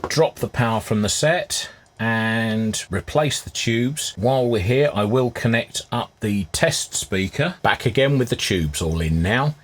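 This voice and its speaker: A man's voice: mid-range voice, expressive, a little slow. A man giving a hands-on repair walkthrough in a methodical, matter-of-fact, step-by-step way. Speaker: hobbyist restorer presenting. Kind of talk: hands-on repair narration, semi-scripted demonstration.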